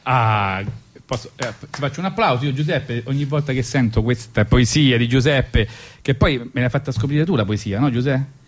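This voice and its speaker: A man's voice: medium pitch, normal speed. A man with a Roman accent, a radio host and comedian telling a rambling story with false starts and asides. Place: radio studio.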